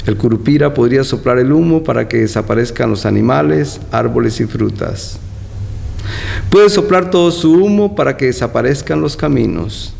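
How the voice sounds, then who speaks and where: Gravelly man in an echoey hall. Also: medium pitch, expressive, darkish tone. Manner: halting, repeats words, searching. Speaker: interviewed guest, poet. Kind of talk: interview talk and poem reading. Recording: echoey hall, faint background noise.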